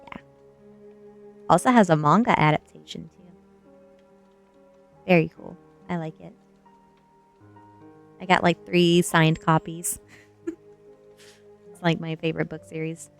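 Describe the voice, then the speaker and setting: Soft-spoken, low-voiced woman reading ASMR. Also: steady tone, measured pace, creaky, long pauses. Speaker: streamer reading a book aloud. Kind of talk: ASMR book reading with casual asides to viewers. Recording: quiet music behind the voice. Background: faint background music.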